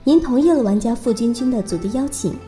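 A woman's voice: a little high, expressive, brisk, music in the background, lightly acted. A woman voicing a polite game system announcer, formal and impersonal, in an audio drama. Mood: neutral. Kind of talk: scripted game notification.

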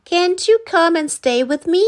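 A woman's voice: very high-pitched, lively intonation, unhurried, darkish tone, pitched above the performer's own voice. A woman doing an eager little boy.

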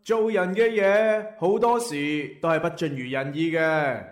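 A man's voice: on the high side, fairly even intonation, measured pace, close to an everyday voice. A man playing a cheeky, grumbling diner in a Cantonese internet radio drama, with an echoey sound.

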